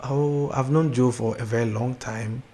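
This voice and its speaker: A young Ghanaian man with a Ghanaian accent, a gospel artist chatting as an interview guest, soft-spoken, laughing easily and often restarting his sentences. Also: medium pitch, steady tone, moderate pace. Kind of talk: casual interview chat.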